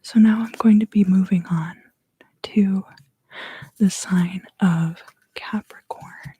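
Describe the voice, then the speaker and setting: A woman in her thirties gives an ASMR tarot reading in hushed, unhurried, deliberate phrases, close to the microphone. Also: medium pitch, fairly even intonation, breathy edge. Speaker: tarot reader and ASMR host. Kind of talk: ASMR tarot reading, whispered and softly spoken.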